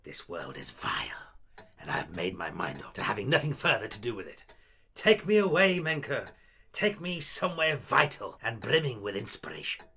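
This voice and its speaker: A man's voice: fairly low pitch, big pitch swings, normal speed, bright, forceful tone, breathy edge, full character voice. A man playing a small, fussy, shrew-like alien actor in an audio drama, declaiming in a florid, plummy, theatrical manner. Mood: anguished, melodramatic. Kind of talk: scripted audio drama acting.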